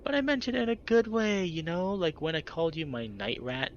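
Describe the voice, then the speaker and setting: A man voicing a dopey young woman who talks in a babyish, cooing whine with a slight rasp. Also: lowish voice, animated, brisk, muffled recording, a little hiss or hum, voice pushed up, close to an everyday voice. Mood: whiny, dopey.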